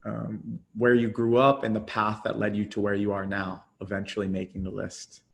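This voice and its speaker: A man's voice: fairly low pitch, monotone, normal speed, gravelly. A man hosting a podcast interview, enthusiastic and praising as he sums up his guest's answers. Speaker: podcast host.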